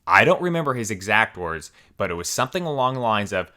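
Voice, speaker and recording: A man hosting a story channel, reading forum posts aloud in a steady, even storytelling manner. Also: lowish voice, fast.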